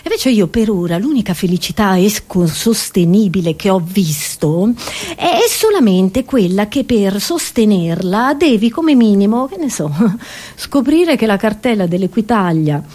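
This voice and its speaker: A woman performing a theatrical, satirical comedy monologue, expressive and ironic, with rhetorical asides to the audience. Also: medium pitch. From Italy.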